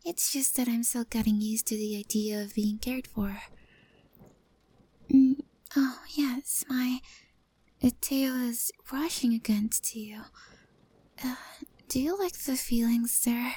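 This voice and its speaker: A woman voicing a shy, timid young stray cat girl in an ASMR roleplay, talking softly and hesitantly, often in a whisper. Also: on the high side, takes it slowly, long pauses, husky. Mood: soft, tender.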